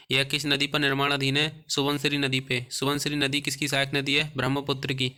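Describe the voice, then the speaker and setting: A man's voice: medium pitch, bright, forceful tone, clean tone. A man teaching an exam class, reading out quiz questions followed by short answers and repeating key terms.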